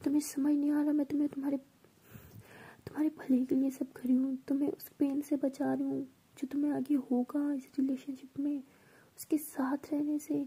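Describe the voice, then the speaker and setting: A woman's voice: sits high, level tone, moderate pace, mellow. A woman whispering the lines of a stern young woman scolding her best friend.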